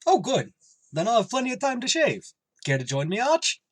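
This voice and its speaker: Dim tavern regular, goofy, cheerful, earnest, wildly varied pitch, dry close sound, radio comedy. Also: male voice, wildly swooping pitch, no echo, full character voice. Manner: slow-witted, goofy, earnest. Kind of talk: old-time radio comedy acting.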